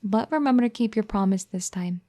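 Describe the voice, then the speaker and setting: A woman voicing a caring, firm young wife in an ASMR roleplay, soft-spoken and gently scolding. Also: medium pitch, brisk, close to an everyday voice. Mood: teasing tender.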